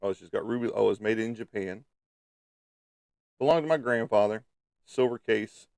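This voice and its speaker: A man's voice: fairly low pitch, normal speed, creaky, darkish tone, dry recording, clean studio sound. A man with a slightly stuffy nose, chatting casually and playfully to viewers as a livestream host. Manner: playful, teasing, peppered with sung sound effects.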